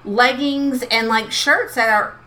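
A woman's voice: fairly high pitch, slow, bright, forceful tone. A woman co-hosting a podcast, talking in a rambling, chatty way and laughing easily. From lived in New Orleans for twenty plus years. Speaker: podcast co-host. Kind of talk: casual chat.

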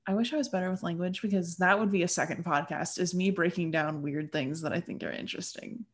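Low-pitched American woman, animated chat. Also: fast talker. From United States. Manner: animated, musing, reflective. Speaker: podcast host. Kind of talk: casual podcast chat.

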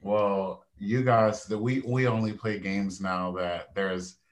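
A man's voice: lowish voice, steady tone, slow pace. A man as a podcast guest, often restarting and repeating words. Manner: repeats himself, stammering starts. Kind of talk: casual chat.

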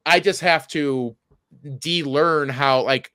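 A man's voice: a little high, takes it slowly, bright, forceful tone, clean tone, no echo, studio quality. A man chatting casually and comedically as a podcast co-host, rambling and often interrupting himself, with some swearing. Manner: rambling, self-interrupting, profane.

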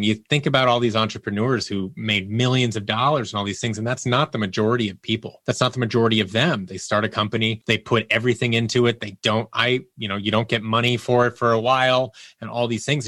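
A man's voice: medium pitch, steady tone, quick pace, hardly any pauses, fairly bright tone, dry recording, studio quality. A man speaking as an interview guest, conversational, earnest and reflective as he explains things. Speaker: interview guest, audio drama producer.

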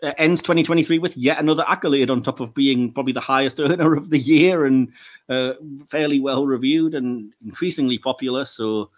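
A man's voice: medium pitch, a little fast, dull audio. A man with a British accent hosting a podcast in casual, chatty, rambling talk. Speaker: podcast host.

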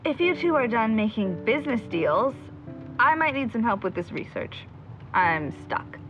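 A woman acting in an audio drama as a driven, bossy young amateur investigator, brisk and determined. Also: on the high side, very animated, fairly bright tone, soft background music. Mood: focused. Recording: slightly dull audio with faint ambient noise.

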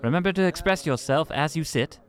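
A man's voice: on the high side, expressive, brisk, close to an everyday voice. A man doing a polite fussy officer. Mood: formal. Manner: formal, patient, slightly flustered. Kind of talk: comedy audio drama.

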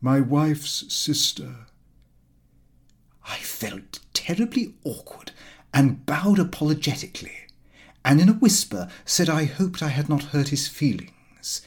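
Man reading with lively, varied pitch. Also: a little slow, husky. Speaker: audiobook reader. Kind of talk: scripted first-person literary narration of a diary.

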